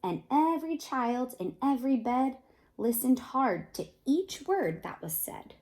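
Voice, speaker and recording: Slow, higher-pitched woman reading to children. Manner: animated storytelling with questions to young listeners. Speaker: read-aloud host, storyteller. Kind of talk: expressive children's picture book read-aloud.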